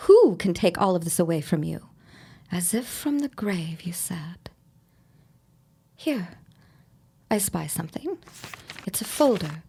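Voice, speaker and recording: An actress playing a sardonic, suspicious accomplice in a period audio drama, speaking in a deliberate, theatrical manner. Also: female voice, mid-range voice, a little mellow, husky, heavily acted.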